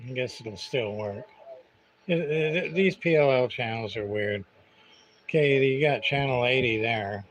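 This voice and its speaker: Slow-paced man muttering over clear background noise. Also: medium pitch, low-quality recording. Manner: muttering to himself, hesitant, thinking aloud. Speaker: livestream host, CB radio repairer. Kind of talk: casual rambling product demonstration on a livestream.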